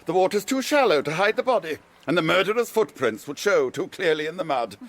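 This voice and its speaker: A man's voice: fairly high pitch, big pitch swings, fairly quick, fairly bright tone. An actor playing a vain, courtly and slyly menacing foreign Count in a period radio drama, mocking and theatrical as he relishes every word. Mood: sardonic.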